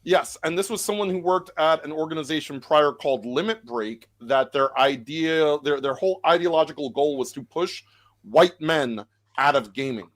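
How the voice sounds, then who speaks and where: A man who is a guest on a casual podcast panel. His voice is a bit high for a man. He gives blunt, opinionated takes. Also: moderate pace.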